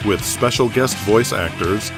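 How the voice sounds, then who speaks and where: Deep-voiced male drama narrator. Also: unhurried. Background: music.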